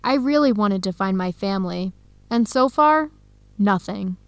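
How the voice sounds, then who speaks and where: A woman playing an earnest, heartbroken young American researcher in a radio drama, speaking clearly and conversationally. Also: mid-range voice, animated, a little slow, dry recording. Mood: disappointed, reflective. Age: young adult. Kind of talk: scripted radio drama dialogue.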